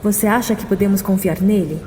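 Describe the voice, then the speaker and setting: A woman portrays a thoughtful tiefling woman, niece of the clan's leader, in a fantasy audio drama. She speaks politely and gently at a middling pitch. Music plays clearly behind her. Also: a little fast, lightly acted. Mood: earnest.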